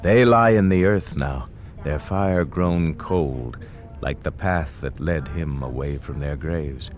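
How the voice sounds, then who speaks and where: A man narrating a radio drama as a solemn, poetic storyteller with a lyrical, deliberate delivery. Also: a bass voice, soft background music. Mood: somber, hushed.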